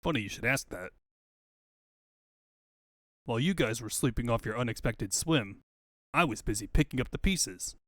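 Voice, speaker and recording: A breezy young cartoon hero, cocky and slangy, voiced by a man. The mid-pitched wisecracks come at an easy pace in a dry comic fan dub recording. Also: expressive, normal speed, pauses often, dry recording, lightly acted. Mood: smug.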